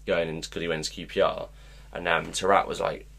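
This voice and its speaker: Deep-voiced guy rambling about football on a podcast, says like a lot. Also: little pitch movement, fairly quick, vocal fry, pushed and bright. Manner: rambling, full of "like" fillers. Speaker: podcast co-host. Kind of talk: casual football chat.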